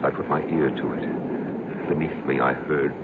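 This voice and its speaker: An actor playing a bitter, obsessed recluse confessing in a brooding, halting, dramatic way in an old-time radio drama. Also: male voice, a bass voice, steady tone, heavy background noise. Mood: brooding anger. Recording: dull old radio broadcast, loud music behind.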